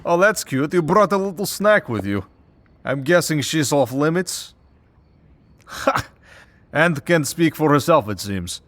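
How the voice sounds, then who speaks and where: A man's voice: a little high, very animated, measured pace, a bit bright. A man voicing a cocky, predatory vampire villain in an audio roleplay, with a mocking, drawling, theatrical delivery. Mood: gloating, teasing. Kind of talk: scripted audio roleplay, ASMR drama.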